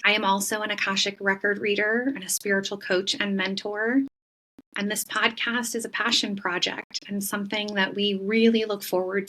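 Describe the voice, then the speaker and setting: A woman co-hosting a spiritual podcast, introducing herself in a steady, welcoming way. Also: mid-range voice, poor audio. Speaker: podcast co-host and intuitive reader.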